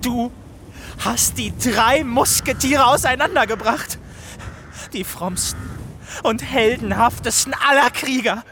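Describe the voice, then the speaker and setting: Bombastic bullying young soldier. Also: male voice, very high-pitched, slow pace, sharp tone, a little hiss or hum, exaggerated voice. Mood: wrathful, declaiming. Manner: bombastic, theatrical declaiming. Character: bullying teenage soldier, bombastic, self-dramatizing, wrathful. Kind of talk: radio drama acting.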